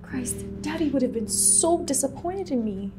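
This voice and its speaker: A woman's voice: high-pitched, lively intonation, normal speed, reverberant, music in the background. An actress with a Nigerian accent playing a betrayed, emotional wealthy woman boss in a screen drama. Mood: shaken, regretful. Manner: forceful, emotional, accusing.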